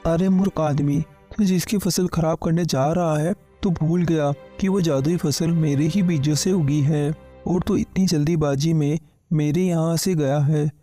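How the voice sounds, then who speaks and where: Man acting a stern village sadhu, knowing, scolding, higher mellow voice, cartoon story, music underneath. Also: fairly even intonation, measured pace, close to an everyday voice. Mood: scornful. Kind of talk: Hindi kids' cartoon story dialogue.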